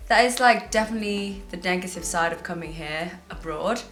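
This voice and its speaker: A young woman of eighteen from Thailand, whose first language is Thai, speaks softly and thoughtfully with small restarts. Her voice sits a little low and rings in a roomy space, with faint music underneath. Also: a little fast. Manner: soft, reflective, hesitant with restarts. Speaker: interviewee. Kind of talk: documentary interview and voice-over.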